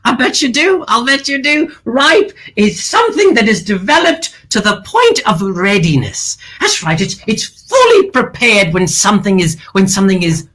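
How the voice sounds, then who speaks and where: A woman's voice: a little high, big pitch swings, moderate pace, few pauses, fairly bright tone. A woman playing a flamboyant, self-adoring children's TV hostess who talks theatrically, stretches her words and breaks into cackles. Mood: gushing, chatty. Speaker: children's show host parody. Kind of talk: comic character sketch.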